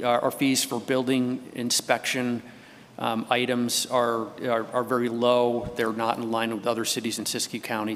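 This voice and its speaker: A man's voice: medium pitch, a bit bright. A middle-aged man with an American accent giving an informal staff update at a public meeting. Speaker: city staff official giving a report. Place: wood-panelled meeting hall. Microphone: gooseneck table microphone. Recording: echoey hall with background noise.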